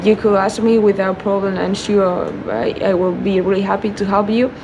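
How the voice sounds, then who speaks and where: A woman with a Spanish accent answering interview questions, haltingly searching for her words in English. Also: medium pitch, steady tone, background noise. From Spain. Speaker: air traffic controller being interviewed. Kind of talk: unscripted interview.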